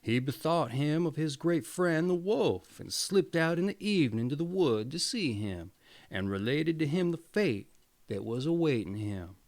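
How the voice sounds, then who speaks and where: Animated male storyteller with swooping pitch. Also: moderate pace. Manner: theatrical. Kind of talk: expressive storybook reading.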